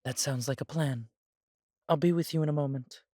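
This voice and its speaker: A man playing a warm, humble elf brother in a scripted fantasy audio roleplay, speaking in measured, slightly formal phrases. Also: on the high side, no room sound, lightly acted. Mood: easygoing. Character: warm, humble elf brother without magic, devoted to family.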